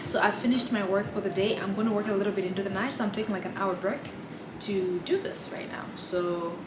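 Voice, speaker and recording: A woman's voice: mid-range voice, a little fast, echoey room, noisy background. A young woman from Malawi, chatting casually to camera in her apartment. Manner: chatty, upbeat, lots of "like" and "um". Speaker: vlogger, content creator. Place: apartment with a river view.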